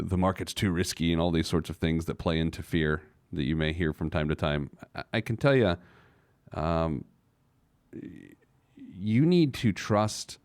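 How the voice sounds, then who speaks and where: A man's voice: lowish voice, darkish tone, dry recording, clean studio sound. A man hosting a personal finance show in an informal, opinionated way, emphatic, repeating phrases for stress and stopping and restarting between rhetorical questions. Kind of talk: informal opinionated radio talk on money.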